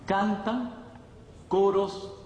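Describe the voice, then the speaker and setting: Male preacher, Latin American Spanish accent, slightly high voice; storytelling sermon with emphatic repetition, picked up at a distance in a hall. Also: steady tone, drawn-out words, darkish tone, dull audio. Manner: emphatic, repeats phrases, rhetorical questions. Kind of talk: sermon, conversational storytelling to a congregation. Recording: echoey hall, distant mic, faint noise.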